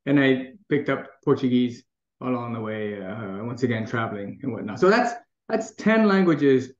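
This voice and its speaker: A man's voice: mid-range voice, animated, normal speed. A middle-aged man from Taiwan, talking in a casual interview in a thoughtful way, with many restarts and easy laughs. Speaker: guest. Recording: echoey room, dull lo-fi sound.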